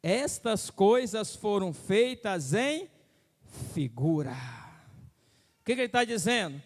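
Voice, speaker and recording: Emphatic preacher in a reverberant hall. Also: male voice, high voice, very animated, slow. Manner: emphatic preaching, repeating phrases, asking the crowd if they follow. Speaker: pastor. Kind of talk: sermon.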